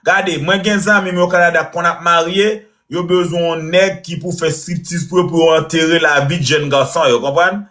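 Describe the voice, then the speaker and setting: A man hosts a joking live stream, boasting and teasing in easy banter and sliding between French and Creole. His voice sits a bit high. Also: fairly even intonation, normal speed, hardly any pauses, fairly bright tone.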